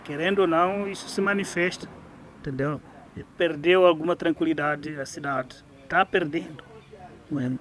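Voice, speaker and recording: A man reflecting in a measured way on how the town has changed, in a documentary interview. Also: on the high side, fairly bright tone.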